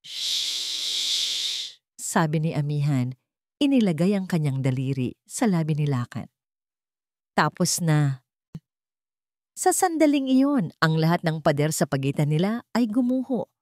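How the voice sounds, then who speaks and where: A woman's voice: deep voice, no room sound, studio quality. A woman narrating a scripted story in a dramatic, emotive and solemn storytelling manner.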